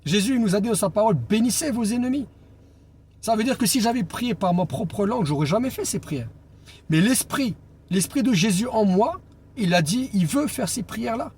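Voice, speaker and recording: A man preaching an informal Bible teaching as an evangelist, speaking deliberately and pausing on key phrases for emphasis. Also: sits high, lively intonation, a little mellow. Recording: clear background noise and ambience.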